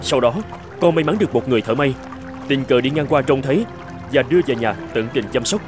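A man's voice: medium pitch, brisk, music in the background, close to an everyday voice. A man voicing a gallant young prince in a fairy-tale cartoon. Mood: earnest recounting.

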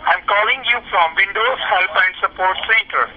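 Male call center agent, high voice, insistent scripted delivery, dull speakerphone sound. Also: moderate pace, slight background noise. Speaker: call center caller posing as tech support. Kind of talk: scripted phone sales-style instructions. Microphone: phone speaker recorded by a nearby camera.

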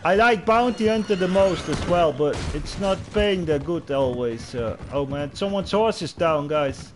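A man's voice: fairly high pitch, animated, measured pace, slight background noise. A young man from the Netherlands with a Dutch accent, chatting casually with viewers and fellow players while hosting a game livestream. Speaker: livestream host. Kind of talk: casual gaming livestream chat.

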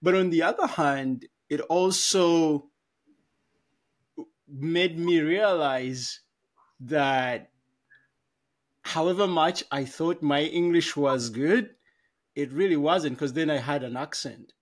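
A man's voice: on the high side, slow, long pauses. A man in his thirties from Africa, co-hosting a podcast over a video call on a headset, telling a story in a rambling, self-correcting way with frequent laughs. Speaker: podcast co-host. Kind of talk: casual conversational storytelling. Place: small room at home. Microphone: over-ear headset on a video call.

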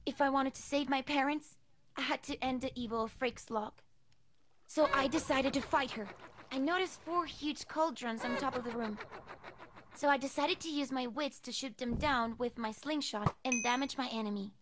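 A woman playing an adventurer who narrates a dark quest in scripted first person for a game. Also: high voice, normal speed, natural voice. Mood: earnest storytelling. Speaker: story narrator. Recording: clear background noise.

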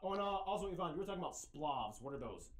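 Brisk energetic geography show host. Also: male voice, fairly high pitch. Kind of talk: scripted educational narration.

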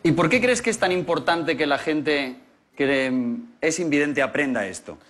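A man in his forties with a Spain Spanish accent and a high, bright, forceful voice, hosting from a big TV studio on a lapel mic. He teases his guest playfully and fires quick questions, with faint music behind. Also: expressive. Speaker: TV show host. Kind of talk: lively TV interview. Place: large TV studio set.

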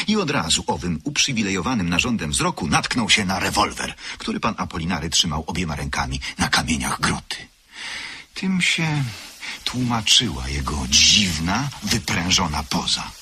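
A male narrator of an old radio play with a deep, husky voice that sounds very bright. He tells the story in a measured way with a dry, ironic edge. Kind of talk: scripted radio drama narration.

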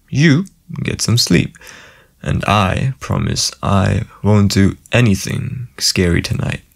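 Low, gravelly, comforting demon played by a man. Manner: soothing, casual, softly reassuring. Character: friendly, gentle male sleep paralysis demon comforting a human. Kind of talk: ASMR boyfriend roleplay.

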